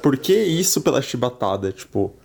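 A man in a casual voice message, asking a podcast guest a question in a relaxed, slangy way. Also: medium pitch, moderate pace, vocal fry. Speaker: listener sending a voice message.

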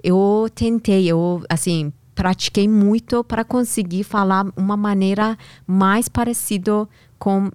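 A woman from Iran with a strong Persian accent, a podcast guest answering questions haltingly in a language not her first. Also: mid-range voice, steady tone, a little mellow, clean tone, dry recording. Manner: halting, searching for words. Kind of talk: casual interview answers.

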